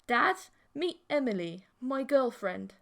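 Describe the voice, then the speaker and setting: A woman's voice: high-pitched, expressive, slow, vocal fry, a bit bright, exaggerated voice. A woman voicing an awkward young son and reluctant boyfriend in an audiobook, hesitant and earnest in manner. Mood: nervous.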